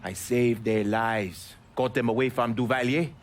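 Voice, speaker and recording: A man playing a bitter, defiant Haitian smuggler and former priest in a video game, with a Haitian accent and a mocking, guarded, deliberate delivery. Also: medium pitch, steady tone, slight background noise, close to an everyday voice. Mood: cool, pointed. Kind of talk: video game cutscene dialogue.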